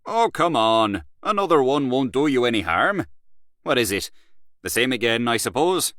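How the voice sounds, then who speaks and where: A man voicing a boastful, worldly Irish male journalist who talks loud and swaggering. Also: mid-range voice, big pitch swings, normal speed, no room sound, clean studio sound, voice pushed up.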